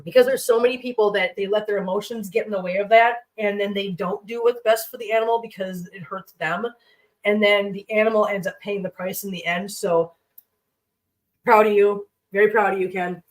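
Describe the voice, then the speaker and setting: A woman's voice: mid-range voice, steady tone, brisk. Congested lady chatting away while she cooks at home, sort of far from the mic. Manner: chatty, rambling, talks to her pets and viewers. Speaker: cooking livestream host. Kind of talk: casual chat while cooking. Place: home kitchen.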